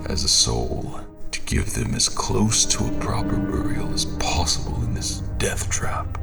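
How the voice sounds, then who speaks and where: An actor playing a solemn, haunted scholar-explorer who narrates his deadly quest in a brooding, deliberate way. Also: fairly low pitch, gravelly. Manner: brooding, deliberate, with dramatic pauses. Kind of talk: dramatic first-person audio fiction narration. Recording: music behind the voice.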